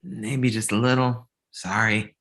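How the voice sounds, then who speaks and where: A man's voice: lowish voice, little pitch movement, a little slow, a bit bright. A man reading the part of a mild, conciliatory young man, polite and placating, at a comedy table read.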